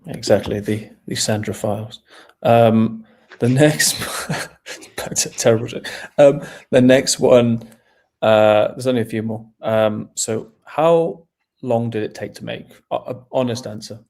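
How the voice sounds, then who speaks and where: A man hosting a webinar, reading out viewer questions in a relaxed, joking way with some hesitation. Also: mid-range voice, measured pace. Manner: relaxed, jokey, hesitant with fillers. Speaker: webinar host. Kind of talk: webinar Q&A moderating.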